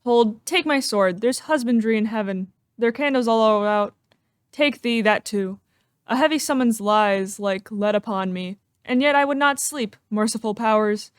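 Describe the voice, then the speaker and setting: A woman playing a loyal, watchful soldier and father in an amateur Shakespearean dramatic reading, with measured, earnest delivery. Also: a little high, smooth, no room sound, clean studio sound, natural voice. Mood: weary, uneasy. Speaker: amateur performer in a library reading.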